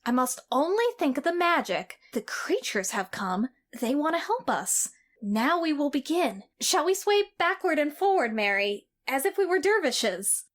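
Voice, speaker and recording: A woman's voice: high-pitched, big pitch swings, moderate pace. A woman voicing a formerly sickly boy, proud, commanding and earnest. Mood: eager. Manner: imperious, earnest chanting. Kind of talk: amateur audio drama.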